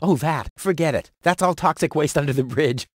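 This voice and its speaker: An actor playing a cocky, impulsive young scoundrel in a theatrical video game cutscene, boisterous and slippery in manner. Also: male voice, on the high side, a little fast, breathy edge, no room sound, studio quality. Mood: evasive. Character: brash, cocky, selfish young scoundrel hero.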